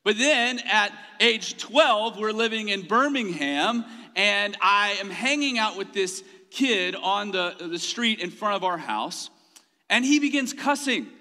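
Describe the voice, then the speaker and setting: Male pastor, higher voice, very bright and forceful tone, self-mocking humor, echoing sanctuary. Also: expressive, a little slow. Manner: humorous self-deprecating storytelling; laughs often mid-sentence. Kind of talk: sermon. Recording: long hall reverb.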